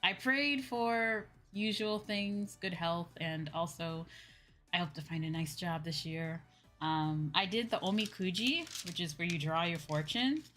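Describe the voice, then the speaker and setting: Lower-pitched young woman chatting casually on stream. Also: measured pace, fairly bright tone, faint music behind. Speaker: VTuber streamer. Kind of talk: casual livestream chat with viewers.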